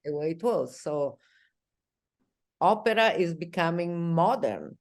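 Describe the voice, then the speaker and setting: Italian woman interviewer, low-pitched, admiring, halting phrasing. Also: expressive. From Italy. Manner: admiring, searching for words.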